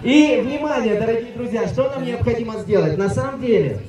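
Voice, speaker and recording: A man's voice: very high voice, lively intonation, fairly quick, warm tone. A man hosting an event, addressing a crowd in an upbeat announcer's manner. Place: outdoor team-building event at a resort. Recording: echoey, distant mic, crowd noise.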